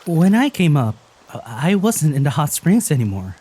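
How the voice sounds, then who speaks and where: A woman playing a weary, wry young traveller, recounting things in a halting, husky voice in an audio drama. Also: sits low, big pitch swings, mellow, heavily acted. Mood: subdued recounting.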